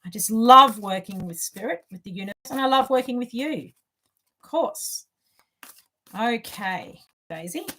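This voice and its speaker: A woman's voice: a little high, lively intonation, a little slow, long pauses, pushed and bright. A middle-aged woman with an Australian accent giving informal spiritual guidance to camera as a tarot reader, stressing her points with repetition. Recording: distant microphone.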